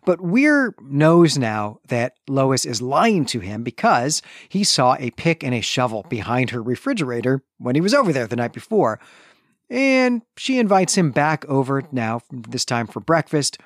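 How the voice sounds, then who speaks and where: A man's voice: medium pitch, very animated, normal speed, dry recording, studio quality. A man co-hosting a podcast, recapping and discussing a book in a casual, conversational way with hedging asides. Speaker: podcast co-host.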